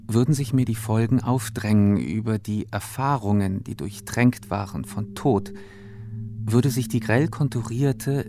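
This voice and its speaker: A man giving a literary reading of long, formal prose sentences. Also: mid-range voice. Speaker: reader of a literary text. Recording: prominent music behind the reading. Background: music.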